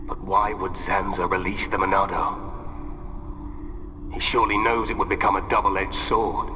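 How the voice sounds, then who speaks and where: An actor playing an imperious villain brooding aloud in a video game, grave, formal and disdainful. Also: male voice, low voice, flat delivery, moderate pace, natural voice. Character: brooding, imperious male villain plotting alone. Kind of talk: dramatic game monologue. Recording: muffled, cavernous reverb with noise.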